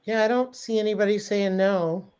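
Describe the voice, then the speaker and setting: A woman's voice: medium pitch, fairly even intonation, moderate pace, a little mellow, smooth. A snuffly woman hosting a casual livestream, greeting viewers by name and giving practical homestead advice. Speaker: host.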